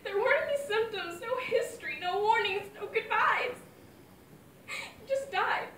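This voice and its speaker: A young woman plays a grieving mother speaking to her late father, her high voice carrying heartfelt, wavering feeling. The sound is roomy and echoey, caught from a mic set well back from her. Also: animated, normal speed, a bit bright, far from the mic, slight background noise. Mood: anguished. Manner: heartfelt, wavering between fond memories and anguish. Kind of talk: dramatic monologue.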